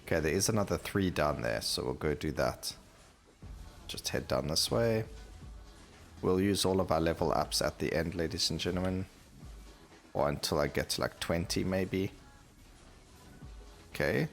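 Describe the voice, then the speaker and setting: A man with a very deep voice narrates his gameplay in a casual, conversational way. There is a gravelly fry to his speech as he thinks aloud and repeats himself. Game music plays behind him. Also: expressive, unhurried, long pauses. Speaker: gaming video host.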